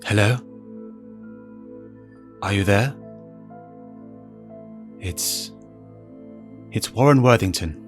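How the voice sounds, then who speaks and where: A man's voice: lowish voice, extremely slow, long pauses, faint music behind. A man playing a bitter, brooding, wealthy fallen superhero with a sneering, curt manner in an audio drama. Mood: wary.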